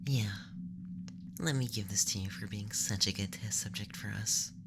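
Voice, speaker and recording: A man voicing a curious, bumbling alien examiner in a soft, breathy ASMR whisper, close to the microphone. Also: fairly low pitch, moderate pace, very noisy, natural voice. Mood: pleased, gentle. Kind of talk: ASMR roleplay.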